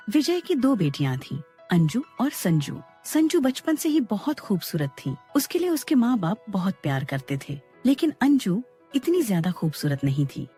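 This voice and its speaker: A woman's voice: fairly high pitch, dark tone, husky. A woman narrating a scripted moral story as a storyteller.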